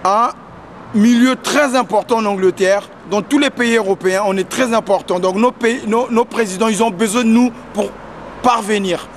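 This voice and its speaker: A man's voice: high-pitched, moderate pace. A man answering a street interviewer, urging and repeating himself with fervour, now and then dropping into English. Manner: exhorting, repetitive, mixes in English. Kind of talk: impassioned street vox pop. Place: busy city street outdoors. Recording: loud street noise.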